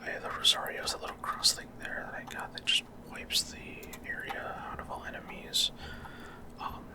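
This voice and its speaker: A man whispering casual, rambling gameplay commentary in a hesitant, breathy ASMR style. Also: moderate pace. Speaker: gaming video creator.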